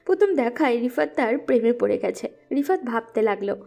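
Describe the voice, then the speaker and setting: A woman's voice: a little high, lively intonation, a little fast, vocal fry, warm tone. A woman narrating a scripted romantic story.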